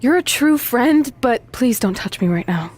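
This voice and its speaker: A woman's voice: on the high side, animated, normal speed, a little mellow. A woman playing an eager, earnest young female wrestler in a video game, chatty with a dry, self-deprecating wit. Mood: wry, awkward. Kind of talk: video game cutscene acting, conversational.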